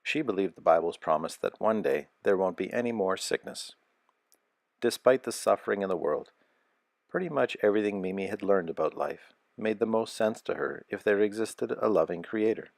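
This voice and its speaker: A man with a deep, slightly gravelly voice reads a scripted children's story. His delivery is measured and expressive, and the sound is close and dry, with very little room around it. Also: no room sound. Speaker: storybook narrator.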